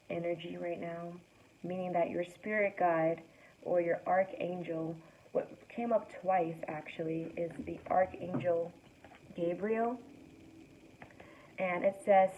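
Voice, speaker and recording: Raspy-voiced young woman reading tarot cards. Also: lowish voice. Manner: relaxed, soothing, guides breathing. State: raspy morning voice. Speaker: tarot card reader. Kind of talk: casual spiritual reading to camera. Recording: tinny distant mic with faint noise.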